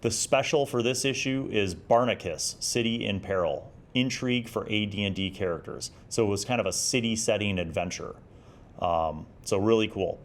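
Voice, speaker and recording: A man in his forties giving a casual, nostalgic review in a conversational, halting way. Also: lowish voice. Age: 40s. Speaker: host of a gaming magazine review series.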